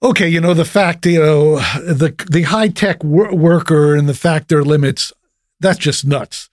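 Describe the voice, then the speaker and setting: Male host, blunt and rapid-fire, slightly high, with a dry mic. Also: no echo. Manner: blunt, quick-fire questions. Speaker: interview host. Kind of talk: podcast interview.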